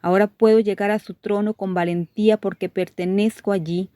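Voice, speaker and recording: A woman reading a devotional course aloud, sharing testimonies in a steady, measured way with gentle emphasis. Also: medium pitch, no echo.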